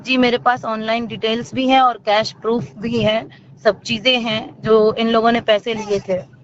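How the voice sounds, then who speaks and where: A woman's voice: on the high side, little pitch movement, normal speed. A woman giving her account as an interview guest over a phone call, speaking in an emphatic, accusatory way. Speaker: interview guest, actor and singer. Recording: dull lo-fi phone recording, clear noise.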